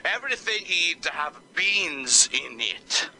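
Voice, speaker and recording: A man voicing an exasperated female Spanish teacher who swears, complains and uses broken grammar, with a low voice for a woman. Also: very animated, takes it slowly, bright, forceful tone, a little hiss or hum, exaggerated voice, low-quality recording. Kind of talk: one-man comedy skit.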